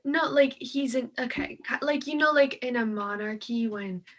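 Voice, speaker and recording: A woman's voice: a little high, normal speed, a bit bright. A young woman co-hosting a casual book chat, speaking informally and peppering her sentences with "like". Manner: informal, heavy use of "like". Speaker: co-host of a book read-along series.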